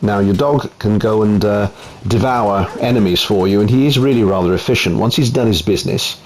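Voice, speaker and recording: Italian man, lowish voice, relaxed game review at home, faint room hum. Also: a little fast. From Italy. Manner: relaxed, chatty, frequent ums. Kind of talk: casual gameplay commentary.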